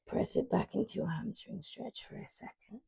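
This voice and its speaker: A woman in her twenties guiding a morning stretching class, cueing softly and unhurriedly as she moves. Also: medium pitch, normal speed, gravelly, breathy edge, no room sound, clean studio sound. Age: 20s. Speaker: yoga instructor. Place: sunlit living room with large windows.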